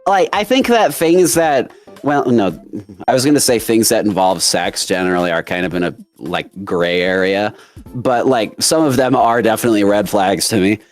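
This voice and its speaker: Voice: medium pitch, moderate pace, faint music behind. A stream guest chatting casually, rambling with many fillers and crude jokes. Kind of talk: casual chat.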